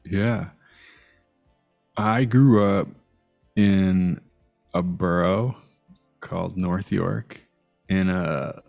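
A man's voice: sits low, vocal fry, darkish tone. A man from Iran who grew up in Canada, speaking as an interview guest in a thoughtful, searching way with hesitant phrasing. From Iran, raised in Canada. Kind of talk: reflective conversation.

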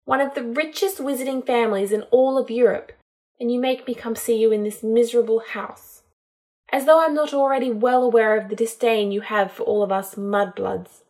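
A woman's voice: fairly high pitch, moderate pace, a little mellow, lightly acted. A woman voicing a drunk, bitter young witch in an audiobook, defiant, sweary and emotional. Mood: bitter, tearful. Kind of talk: dramatised audiobook narration.

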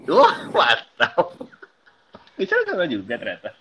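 A man, co-hosting a podcast in casual chat, quick to agree and tease. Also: mid-range voice, wildly swooping pitch, pushed and bright, slight background noise. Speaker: podcast co-host. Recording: dull lo-fi sound.

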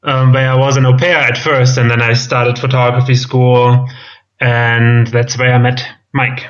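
A man in his thirties from Germany with a German accent, a guest chatting casually in an interview, speaking haltingly with ums and restarts. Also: medium pitch, level tone. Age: 30s. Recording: dull lo-fi sound.

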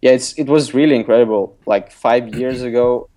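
A man's voice: medium pitch, normal speed, mellow. A young man from Lithuania, a non-native speaker of English, talking casually as an interview guest with many fillers and restarts. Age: young adult. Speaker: guest, app designer and author. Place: recording remotely from abroad.

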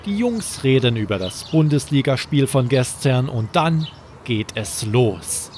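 Slow, measured male bedtime storyteller. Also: medium pitch, very animated, a little mellow, a little hiss or hum. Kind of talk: scripted bedtime story narration for children.

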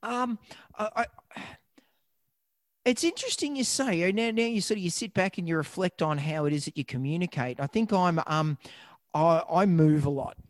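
A man co-hosting a vet podcast, an animated, chatty storyteller with a slightly squeaky voice and many restarts. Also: moderate pace, dry recording, studio quality. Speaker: veterinarian podcast co-host. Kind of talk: casual chat.

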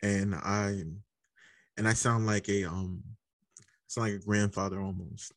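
A man hosting a podcast in relaxed, casual conversation, with a very deep voice and easy laughter. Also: dry recording, clean studio sound. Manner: relaxed, laughs easily, halting restarts. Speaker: podcast host.

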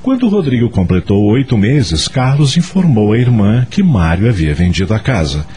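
Male narrator for a radio drama, lowish voice, really animated storytelling, faint music behind. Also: normal speed.

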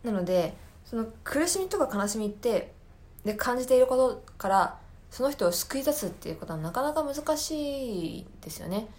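A woman's voice: on the high side, big pitch swings, moderate pace, a little mellow. A woman podcast host talking casually on her own, explaining things thoughtfully and searching for her words as she goes. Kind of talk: casual solo recommendation talk.

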